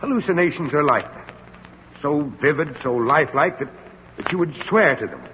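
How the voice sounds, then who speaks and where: An earnest, probing middle-aged doctor played by a man, solicitous and emphatic in vintage radio drama style. His delivery is highly expressive, heard in a dull recording with a long reverberant tail. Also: normal speed, a little hiss or hum, close to an everyday voice. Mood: grave, persuasive. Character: earnest, insistent middle-aged male doctor, a concerned old friend. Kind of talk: old-time radio drama acting.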